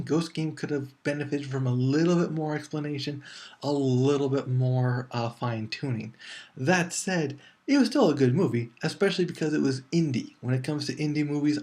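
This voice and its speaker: A man's voice: a little high, a little mellow. A man hosting a casual, unscripted review show, rambling informally and chattily as he talks straight to his viewers. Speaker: movie review show host.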